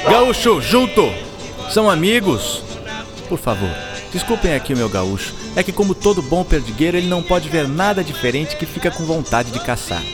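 A Brazilian actor playing a courteous, patient young physicist in a radio drama, explaining things clearly. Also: male voice, a little high, very animated, moderate pace, long reverb tail, over music. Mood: hospitable, apologetic.

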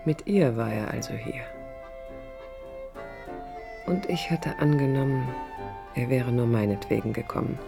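An actress playing a reflective young woman architect who narrates her life in a pensive, deliberate radio-play monologue. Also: rumbling low, expressive, gravelly, music in the background, exaggerated voice. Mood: wistful. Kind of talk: literary inner monologue, 1980s radio play.

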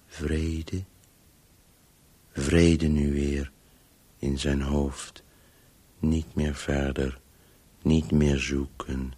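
An actor playing a weary, disembodied Voice in a radio play, obsessively telling a story in halting, clipped, sometimes breathy fragments. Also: male voice, extremely low pitch, a little mellow, heavily acted.

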